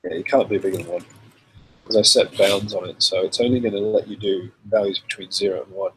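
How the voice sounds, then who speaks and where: A man with a cold and a slightly rough voice, hosting a casual live demo and answering viewer questions in a relaxed, chatty way. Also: fairly low pitch, quick pace, a little hiss or hum, poor audio. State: has a cold, voice a bit rough. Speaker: software developer hosting a live stream.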